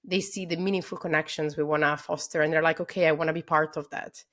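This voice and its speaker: A woman's voice: sits low, steady tone, fast talker, fairly bright tone. A woman in her thirties from Italy, with an Italian accent, talking as a podcast guest over a video call, eager and full of fillers. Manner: enthusiastic, many fillers like "um" and "like". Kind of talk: casual interview conversation.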